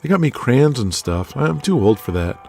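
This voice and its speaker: A man's voice: mid-range voice, sing-song, moderate pace, creaky, darkish tone, slightly rough, soft background music, pitched above the performer's own voice, full character voice. A man voicing a shy, starstruck twelve-year-old boy who loves wrestling, in an audio drama.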